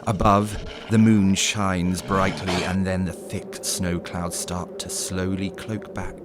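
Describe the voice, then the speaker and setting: A man narrating a scripted horror story in a dramatic, deliberate storytelling manner. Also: low-pitched, flat delivery. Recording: clearly noisy background ambience.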